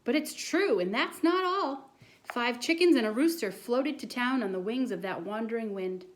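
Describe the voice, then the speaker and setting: Woman as an earnest, excitable schoolboy. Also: a little high, normal speed, close to an everyday voice. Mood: pleading, insistent. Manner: insistent, dramatic storytelling. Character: earnest, excitable schoolboy telling a tall tale.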